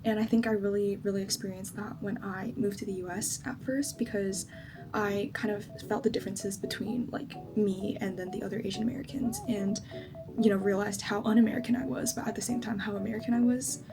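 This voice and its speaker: A woman's voice: medium pitch, fairly even intonation, a little fast. Chatty young woman over background music. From China. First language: Chinese. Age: 20s. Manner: chatty, lots of likes and ums. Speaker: beauty vlogger. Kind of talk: casual makeup vlog chat.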